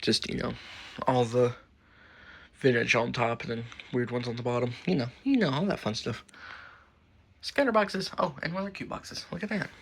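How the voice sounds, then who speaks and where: A man's voice: mid-range voice, moderate pace, vocal fry, long pauses, slight background noise. A man giving a casual, rambling show-and-tell as a hobbyist host, muttering asides and swearing mildly. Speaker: radio collector and host. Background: weather radios playing.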